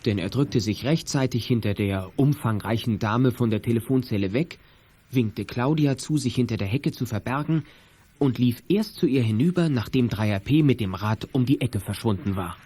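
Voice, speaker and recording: A man's voice: medium pitch, expressive, normal speed, dark tone, breathy edge. A man reading scripted story narration for a children's radio play.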